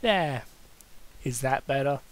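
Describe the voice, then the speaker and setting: A man's voice: medium pitch, expressive, takes it slowly. A man voicing a big, tall masked man, smug and sarcastic, coaxing his partner in an amateur story reading. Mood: cooing. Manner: sarcastic, cooing, suggestive.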